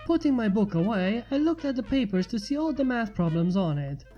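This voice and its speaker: A woman's voice: fairly high pitch, sing-song, a little fast, mellow, muffled recording. A woman reading a first-person fan fiction story aloud as its narrator. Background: faint music.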